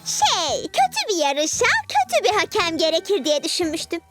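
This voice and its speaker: A woman's voice: extremely high pitch, wildly swooping pitch, normal speed, exaggerated voice. A woman voicing a vain, covetous young girl villain, haughty and scheming, in a dubbed children's cartoon. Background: prominent background music.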